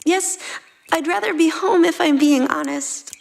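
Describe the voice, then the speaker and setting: A woman's voice: very high-pitched, a little slow, creaky, faint music behind, pitched above the performer's own voice, full character voice. A woman voicing a shy, earnest young anime girl who speaks in a sweet, hesitant way. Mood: shy, content.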